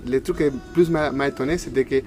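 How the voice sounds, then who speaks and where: A man's voice: medium pitch, fairly even intonation, quick pace, darkish tone, faint music behind. An Argentine man in his thirties, a native Spanish speaker, talking casually in an interview and searching for words with a Spanish accent. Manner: hesitant, searching for words in a second language. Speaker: interview guest.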